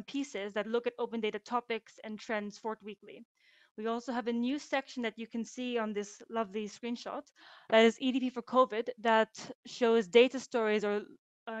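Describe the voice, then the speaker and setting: A woman delivers a scripted webinar presentation in a steady, informative tone. Her voice sits a little high, dry, and slightly muffled, as over a video call. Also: clear, resonant tone, dry recording. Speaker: conference presenter.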